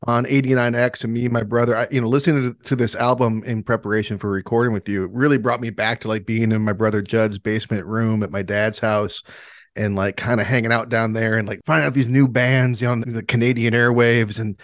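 A middle-aged American man hosts a podcast in casual conversation. His pitch is average and the sound is dry, with no room echo. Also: steady tone, quick pace. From Michigan, United States. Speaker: podcast host. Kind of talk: casual chat.

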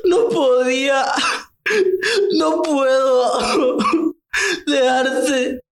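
An actor playing a drunken, grieving, violent older father with a Central American Spanish accent, in a slow, anguished, emotional radio drama delivery. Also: very high voice, fairly even intonation, full character voice. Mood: anguished weeping. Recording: roomy echo, music underneath.

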